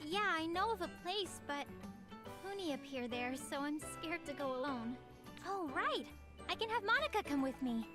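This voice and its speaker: A woman's voice: sits high, normal speed. A woman voicing an eager, bubbly teenage girl apprentice in an anime-style video game. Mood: fretful, hopeful. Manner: bubbly, expressive, exclaiming. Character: eager, excitable teenage girl, budding alchemist. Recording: music behind the voice. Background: game music.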